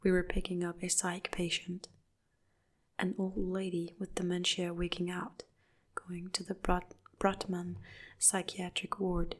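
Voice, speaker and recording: A woman narrating a dark first-person story in a soft, hushed whisper throughout. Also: on the low side, monotone, measured pace.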